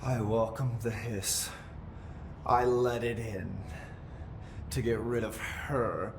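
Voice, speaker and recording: An actor with an American accent playing an unhinged, possessed younger brother in a game cutscene, resentful and trance-like, drifting into cryptic sing-song phrases. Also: male voice, medium pitch, steady tone, slow, background noise. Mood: resentful, detached. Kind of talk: video game cutscene acting. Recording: echoey room, loud background ambience.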